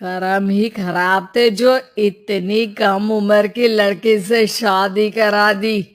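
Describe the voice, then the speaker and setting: A woman's voice: mid-range voice, steady tone, takes it slowly, close to an everyday voice. A woman voicing a bad-tempered, domineering husband who complains curtly in a moral story. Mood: angry. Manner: curt, complaining.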